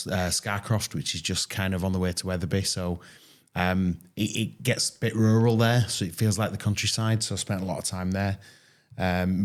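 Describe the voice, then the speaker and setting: Man with a Yorkshire accent, podcast guest, low voice, friendly relaxed storytelling. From East Leeds, England. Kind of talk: casual interview storytelling.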